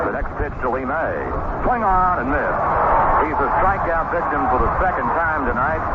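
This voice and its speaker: A man calling baseball play-by-play in a 1970s live radio style, with measured calls in short clipped phrases. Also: mid-range voice. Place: broadcast booth at an outdoor ballpark. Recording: dull, very noisy old radio broadcast.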